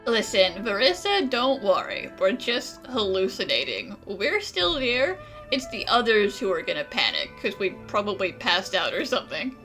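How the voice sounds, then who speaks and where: A woman's voice: medium pitch, big pitch swings, normal speed, hardly any pauses, a bit bright, faint music behind. A woman voicing a brash, laid-back and playful young female adventurer in a video game, with a casual, teasing manner. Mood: reassuring.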